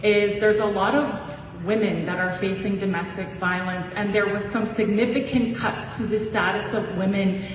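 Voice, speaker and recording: A woman with a mid-range voice talks in a friendly, casual and slightly halting way at a candidates' forum. She is heard from a distance in a reverberant hall with faint background noise. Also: mid-range voice, steady tone. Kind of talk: debate speech. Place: community hall. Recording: echoey hall, distant mic.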